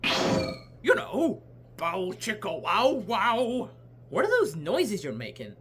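A man's voice: sits high, huge pitch swings, slow pace, exaggerated voice. A man voicing a cheerful, dim-witted, childlike martial-arts hero in a comedic anime parody dub. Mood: clueless. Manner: naive, simple, carefree. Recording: noisy audio with clicks.

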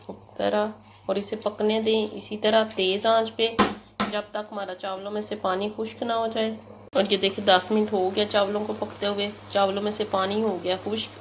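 A woman narrating a cooking recipe step by step, in a steady, instructive way, in a home kitchen. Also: medium pitch, slight background noise. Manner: steady, instructive, repeats "ye dekhiye". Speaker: cooking channel host. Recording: loud kitchen sizzle behind.

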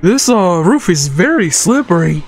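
A woman's voice: on the low side, big pitch swings, a little slow, a little mellow, husky, soft background music, pitched below the performer's own voice, exaggerated voice. A woman voicing a helpful male game character who gives instructions in roleplay dialogue. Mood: helpful, calm.

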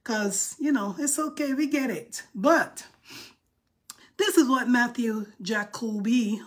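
A woman's voice: on the high side, takes it slowly, slightly rough. A middle-aged woman with a Barbadian accent, chatting playfully and sassily on a phone live stream from a room indoors. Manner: chatty and playful, sassy asides. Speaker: livestream host. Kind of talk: casual live-stream chat. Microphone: phone held close, selfie framing.

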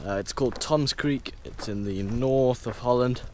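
A man's voice: mid-range voice, moderate pace, slight background noise. Young man vlogging outdoors by a pond. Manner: chatty, explains with fillers. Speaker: fishing vlogger. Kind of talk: casual vlog narration. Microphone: action camera held at arm's length.

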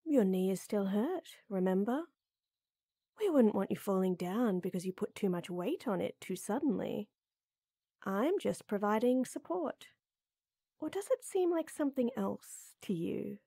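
Gravelly, mellow lady knight, acted by a woman, slow and teasing. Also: medium pitch, animated, no room sound, studio quality, heavily acted. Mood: teasing, playful. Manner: teasing, coy, soft and intimate with chuckles. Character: tall, strong, flirty teasing lady knight. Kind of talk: flirty roleplay audio drama.

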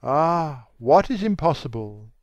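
Male reader as despairing young dandy from a classic novel; smooth, higher-set voice, theatrical and bitter, dry sound. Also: very animated, slow, a little mellow, no echo, muffled recording, exaggerated voice. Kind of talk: dramatised classic novel reading.

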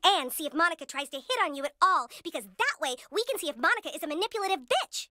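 A woman's voice: very high voice, big pitch swings, fast talker, pushed and bright, no echo, studio quality, full character voice. A woman voicing a bossy, gossipy young schoolgirl in a cartoon game, earnest and catty. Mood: conspiratorial. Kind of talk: cartoon voice acting.

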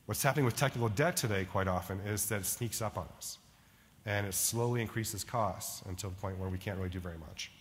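A man's voice: lowish voice, fast, long reverb tail, a little hiss or hum. A middle-aged man giving a conversational conference keynote in a large ballroom, often checking in with "right?". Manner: conversational, frequent "right?" and "like" fillers. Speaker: keynote speaker.

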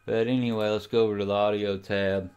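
A man with a fairly low, clean and resonant voice talks through a software tutorial. He explains each step in a casual, informal way, as the host of a hobby how-to. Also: level tone, fairly quick, clear, resonant tone, highs cut off. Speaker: amateur radio tutorial host.

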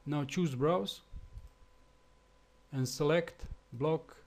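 A man from Slovenia with a Slovenian accent, speaking English as a second language in a halting screencast tutorial with fillers and restarts. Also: fairly high pitch, darkish tone. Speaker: web developer.

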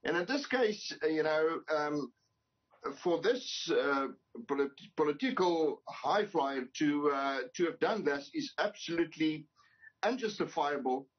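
An older man speaks over a video call from his study, his husky voice sitting fairly high for a man. He talks emphatically but stumbles through frequent restarts. The call audio is dull and lo-fi. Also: lively intonation, unhurried. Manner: hesitant, many restarts, emphatic. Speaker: employers' association representative. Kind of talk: news interview on video call. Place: home study with bookshelves.

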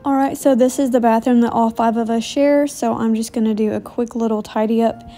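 A woman's voice: a little high, fairly even intonation, a little fast, mellow, faint music behind. A woman in her thirties with an American accent, chatting casually and informally as a self-deprecating homemaking vlogger.

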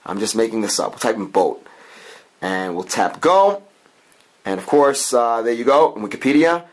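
A man's voice: mid-range voice, animated, normal speed. A man hosting an informal, improvised product review, talking through a hands-on demo and often repeating his points. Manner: talks in plural "we", informal, improvised and repetitive. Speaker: app reviewer, channel host.

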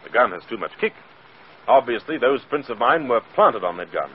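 An actor playing a cheerful, self-assured gentleman sleuth, affectionate and suave, in a 1940s radio drama. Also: medium pitch, sing-song, fairly quick, natural voice. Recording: muffled, faintly noisy old radio.

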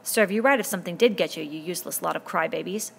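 A woman's voice: fairly low pitch, quick pace, gravelly, a little hiss or hum. A woman reading a novel aloud, voicing a scornful, bullying boy who leads the hunters and sneers at the younger ones. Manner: sneering, dismissive.

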